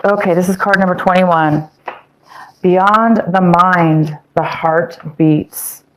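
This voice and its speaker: Rambling tarot-reading woman, lowish voice. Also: slow pace, a little hiss or hum, low-quality recording. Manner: meandering, many fillers and self-corrections. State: losing her voice. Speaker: tarot reader. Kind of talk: casual tarot reading to viewers.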